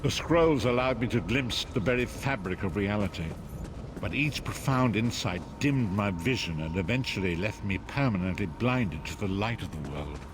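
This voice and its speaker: An actor playing a frail, blind old prophet and mystic guide in a fantasy video game, speaking gravely in archaic, oratorical phrases. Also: male voice, on the low side, lively intonation, moderate pace, background noise, close to an everyday voice. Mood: solemn, reflective. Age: older. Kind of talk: dramatic fantasy game voice acting.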